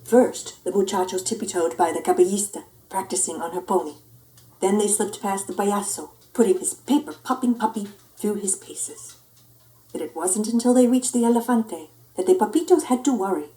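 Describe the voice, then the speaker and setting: A woman reading a children's picture book aloud in an expressive, theatrical, playful way. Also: mid-range voice, moderate pace, low-quality recording. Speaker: storyteller.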